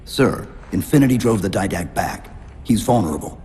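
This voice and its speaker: A man's voice: fairly low pitch, animated, normal speed, mellow, a little hiss or hum, natural voice. A man playing a stoic veteran supersoldier in a video game, speaking in a terse, restrained military manner. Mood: stoic, calm. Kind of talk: video game cinematic acting.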